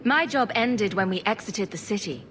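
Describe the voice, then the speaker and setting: Woman as earnest mid-pitched female mage hero. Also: animated, moderate pace, fairly bright tone, a little hiss or hum, lightly acted. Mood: earnest, concerned. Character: calm, compassionate, diplomatic young female mage adventurer. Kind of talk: video game cutscene acting.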